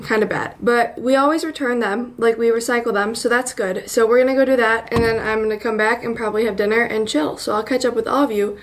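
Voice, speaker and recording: A woman's voice: on the high side, fast, hardly any pauses. Chatty young woman vlogging from her bedroom. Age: young adult. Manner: chatty, rambling with many filler words. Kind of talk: casual chat to camera. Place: bedroom at home, indoors. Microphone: camera held at arm's length.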